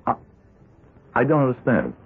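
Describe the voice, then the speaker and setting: An actor playing an evasive, buttoned-up accountant in a 1970s radio drama. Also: male voice, mid-range voice, huge pitch swings, normal speed, husky, exaggerated voice. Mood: hesitant, uneasy. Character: evasive, buttoned-up accountant leading a double life. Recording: thin lo-fi vintage radio recording.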